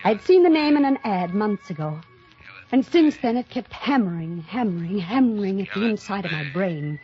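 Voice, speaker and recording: A restless, darkly fascinated woman, played by a radio actress tells her story with dramatic intensity. Her voice sits at a middle pitch. It is a dull 1950s radio drama recording with clear music behind. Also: expressive, natural voice. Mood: brooding, haunted. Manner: intense, dramatic, deliberate repetition. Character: restless, morbidly thrilled woman recounting a dark tale. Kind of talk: 1950s radio drama first-person narration.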